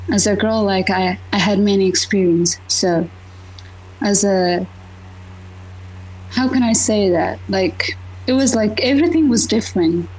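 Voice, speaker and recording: Halting Afghan-accented woman, faint background hum. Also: mid-range voice, a little mellow, rough recording. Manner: halting, searching for words. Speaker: podcast guest sharing her story. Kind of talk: informal personal storytelling.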